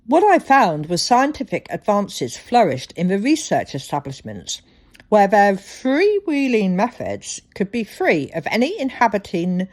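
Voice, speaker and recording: A woman hosting a solo podcast, telling things reflectively with deliberate, dramatic phrasing. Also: mid-range voice, darkish tone. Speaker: podcast host.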